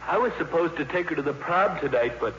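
A man's voice: on the high side, expressive, quick pace, lightly acted. An actor playing an eager, naive, talkative teenage boy in a 1950s radio drama, with a gushing, awkward manner. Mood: earnest, awkward. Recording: muffled, reverberant, noisy old radio recording.

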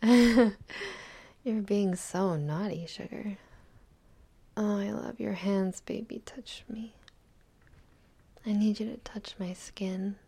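A young adult woman performing an intimate audio roleplay, speaking mostly in a soft, teasing whisper. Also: mid-range voice, slow pace, long pauses, husky.

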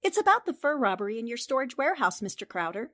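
Sharp lady columnist, played by a woman, 1940s radio drama: highish, very lively pitch, theatrical, knowing, teasing. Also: fast. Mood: polite. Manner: theatrical, condescending endearments. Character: shrewd, worldly, teasing newspaperwoman columnist.

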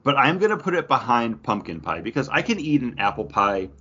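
A man's voice: medium pitch, very animated, fairly quick, sharp tone, husky, dull audio. A man hosting a podcast, chatting casually with the group. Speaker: podcast host.

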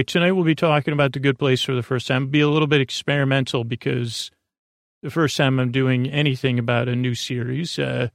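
A man with a creaky voice hosting a bedtime podcast, rambling in a halting, soothing way full of tangents and trailing thoughts. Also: medium pitch, no echo, studio quality. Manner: soothing, lulling. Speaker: podcast host.

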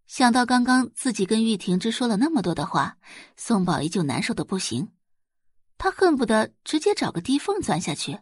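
A female narrator delivers dramatic scripted fiction with very lively intonation. Her voice is slightly husky and of medium pitch, recorded dry and close. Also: fairly quick, no room sound.

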